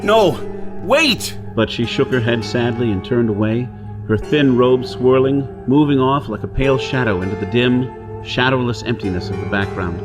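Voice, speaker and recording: A man's voice: medium pitch, moderate pace, lightly acted. Man acting an intense pulp outlaw hero, music behind. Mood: desperate, urgent, then brooding, anguished. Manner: intense, theatrical. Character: desperate outlaw hero on Mars recounting his ordeal. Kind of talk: dramatic first-person pulp narration. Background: dramatic music and soundscape.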